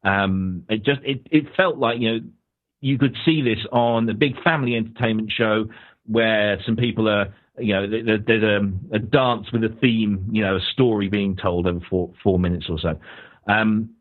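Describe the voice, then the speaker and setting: A man's voice: fairly low pitch, steady tone, moderate pace. British man on a tinny phone line. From United Kingdom. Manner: rambling, hedging with many fillers and restarts. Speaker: guest panelist. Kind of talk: casual music review on a podcast.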